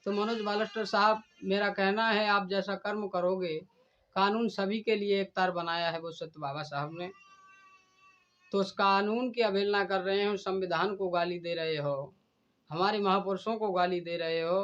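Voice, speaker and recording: A man delivering a stern, deliberate admonishing speech in a preachy manner. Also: high-pitched, fairly even intonation, fairly bright tone. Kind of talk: admonishing speech addressed to a rival. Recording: dull, lo-fi recording.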